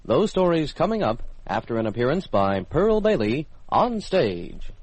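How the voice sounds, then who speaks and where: A man's voice: on the high side, sing-song, a little slow, low-quality recording. A man with an American accent making scripted military radio announcements in a formal, polished announcer's delivery. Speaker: radio program host and announcer.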